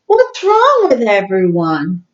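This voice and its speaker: A woman voicing an eager, excitable young boy shark in a storybook reading, bouncy and exclaiming. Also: medium pitch, slow, dull audio. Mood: excited.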